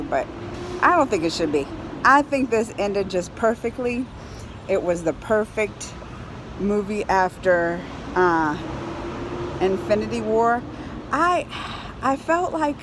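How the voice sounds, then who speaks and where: A woman in her thirties chatting casually to camera as a movie reviewer, emphatic and excitable, repeating phrases for effect. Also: medium pitch. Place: outdoors on a high balcony over a city. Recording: outdoor city noise, passing cars. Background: urban traffic ambience.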